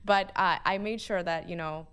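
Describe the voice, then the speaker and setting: Woman, 20s, from Pakistan, smooth resonant voice, lowish pitch, casual. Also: moderate pace, a bit bright. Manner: casual, uses "like" often. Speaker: guest, neuroscience student. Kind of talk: conversational interview.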